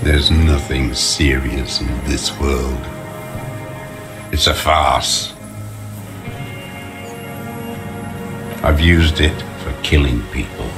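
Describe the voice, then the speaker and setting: An actor playing a bitter, defiant old communist deserter in a video game, gravelly, slow and contemptuous, speaking like a doctrinaire ideologue. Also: male voice, extremely low pitch, fairly even intonation, full character voice. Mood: cold, contemptuous. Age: older. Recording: loud music behind the voice. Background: music.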